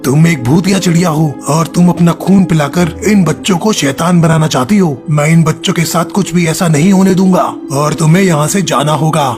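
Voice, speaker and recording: A man's voice: a little high, moderate pace, hardly any pauses, a little mellow, soft background music, close to an everyday voice. A man voicing a stern, powerful mystic figure in a cartoon horror story, speaking in a solemn, commanding way. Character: stern, powerful male devil or forest mystic. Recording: long hall-like reverb.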